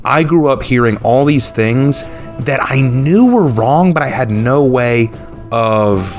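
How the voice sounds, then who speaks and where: A young man, talking casually and unscripted to his channel's viewers, relaxed and thinking aloud with fillers. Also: medium pitch, takes it slowly, faint music behind. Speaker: YouTube channel host.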